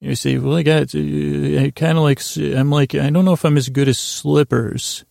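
A man hosting a bedtime podcast in a rambling monologue, halting and trailing off mid-thought, with a gravelly, creaky voice. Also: on the high side, steady tone, a little mellow, dry recording, clean studio sound. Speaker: podcast host.

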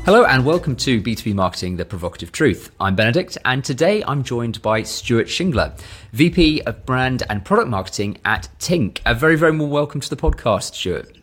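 A man hosting a business podcast, asking questions in a measured, conversational way with frequent ums. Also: mid-range voice, big pitch swings, a bit bright, faint music behind. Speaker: podcast host. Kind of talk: podcast interview.

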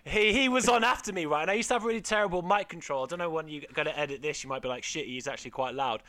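A young man, a stand-up comedian and podcast guest, telling loud, self-mocking stories full of swearing in a casual chat. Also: fairly high pitch, very fast.

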